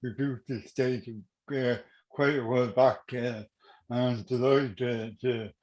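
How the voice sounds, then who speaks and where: A man with a Dublin accent sounds as if he is in a roomy, echoing space on an online call. His voice sits at a middle pitch, and he introduces and questions his guest informally, haltingly, doubling back on words. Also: steady tone, a little mellow. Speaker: interviewer.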